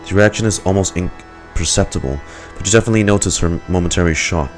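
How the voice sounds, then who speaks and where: A man with a very deep voice streams a game. He reads the text aloud calmly, stumbling now and then and adding casual remarks, while music plays clearly behind him. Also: fast.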